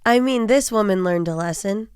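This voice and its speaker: A woman guests on a podcast, talking casually at an average pitch for a woman. She comes across as incredulous and reactive, and the recording is very dry. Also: normal speed, a little mellow, clean tone, no room sound. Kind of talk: casual chat.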